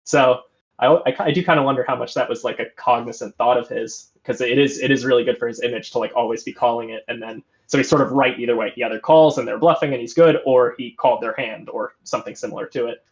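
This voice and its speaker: A man hosts and commentates a live poker stream, chatty and musing, often restarting his sentences. His pitch sits at an ordinary middle level for a man. The stream audio sounds a little dull and muffled. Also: fast.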